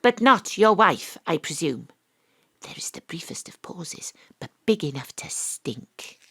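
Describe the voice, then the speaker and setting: Female private detective, lowish pitch, dry sardonic delivery, hardboiled audio drama narration. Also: very animated, measured pace, sharp tone, breathy edge, in a whisper, full character voice. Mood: probing, brisk. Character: wry woman detective narrating her case, ex-rugby player.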